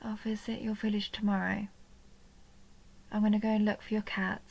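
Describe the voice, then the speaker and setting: Vampire countess for intimate audio roleplay, played by a woman: soft and coaxing, near-whispered, creaky, narrow pitch movement, lonely and possessive. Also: flat delivery, moderate pace. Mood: soothing, affectionate. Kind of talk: intimate roleplay audio drama, addressed to the listener.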